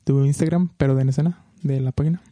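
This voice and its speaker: A man's voice: mid-range voice, fairly even intonation, fast, dark tone, no echo. A young man with a Mexican accent chatting casually and slangily as a podcast guest. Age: young adult.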